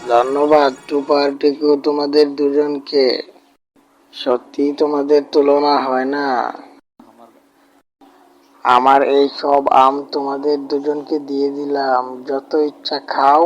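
A man's voice: fairly high pitch, completely flat delivery, slow, pauses often, poor audio. A man voicing a kind, grateful mango tree uncle in a children's cartoon, gracious and benevolent.

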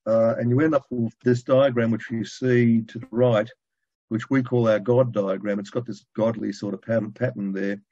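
A man in his sixties giving an informal technical presentation over a video call from a room at home, speaking in a halting, colloquial, conversational way. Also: medium pitch, steady tone, warm tone. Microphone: video call, computer microphone. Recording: dull lo-fi video call audio.

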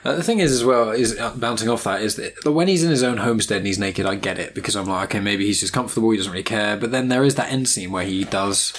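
A man chats casually as a podcast host. His voice sits a little low and he talks quickly, with a joking, teasing manner. There is faint noise behind him. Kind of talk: casual film review chat.

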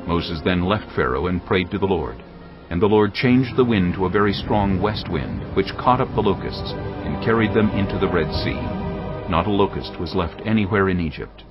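Deep-voiced male Bible narrator. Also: dull audio, over music. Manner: grave, measured reading.

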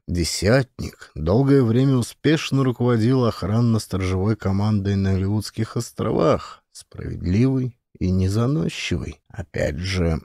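A man's voice: fairly low pitch, warm tone, no echo, studio quality. A man reading a scripted historical adventure story as an audiobook narrator.

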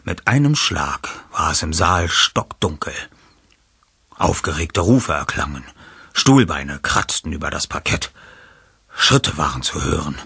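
A man's voice: very deep voice, big pitch swings, a little slow, gravelly, bright, forceful tone, husky, dry recording, studio quality, exaggerated voice. A man playing a self-assured, eloquent boy detective in an audio drama, speaking precisely and formally. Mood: suspenseful narration. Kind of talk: German audio drama acting and narration.

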